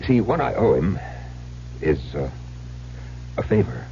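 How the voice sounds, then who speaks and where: A middle-aged, well-educated husband weighed down by the past in an old radio play. His deep voice is confessional and theatrical, swooping dramatically in pitch. The recording is muffled and slightly noisy. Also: very animated, unhurried, vocal fry, warm tone, exaggerated voice. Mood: grave, reminiscing.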